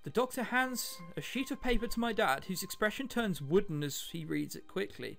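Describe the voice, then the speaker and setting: A man's voice: high-pitched, expressive, moderate pace, gravelly, fairly bright tone, soft background music. A British man hosting a let's play, reading a visual novel aloud and adding chatty, jokey commentary. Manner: jokey, chatty asides between read passages.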